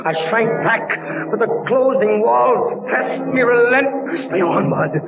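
A man's voice: very high voice, expressive, measured pace, vocal fry, music in the background, exaggerated voice. An actor playing a terrified, tortured prisoner recounting his ordeal in a theatrical, intense 1940s radio drama style. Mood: dread, anguish. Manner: theatrical, intense, anguished delivery. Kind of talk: 1940s radio acting, dramatic first-person narration. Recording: muffled noisy old radio recording, far from microphone.

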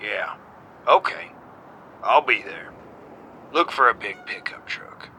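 A man's voice: lowish voice, lively intonation, takes it slowly, background noise. A man playing a terse, pushy militia member arranging a shady night deal in an audio drama. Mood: curt, guarded.

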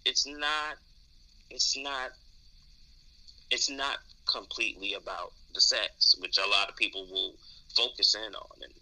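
Deep, very bright-voiced man talking candidly. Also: measured pace, long pauses, poor audio. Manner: hesitant, thoughtful, repeats words while explaining. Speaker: podcast co-host discussing dating. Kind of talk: candid conversational talk.

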